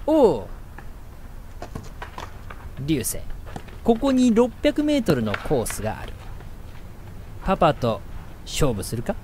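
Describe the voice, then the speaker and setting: A man playing a gruff, preachy father in a radio drama, speaking in a blunt, emphatic, declamatory way. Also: fairly high pitch, wildly swooping pitch, slow pace, pauses often, a little mellow, a little hiss or hum, heavily acted. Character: gruff, preachy father lecturing his young son. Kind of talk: scripted radio drama narration and dialogue.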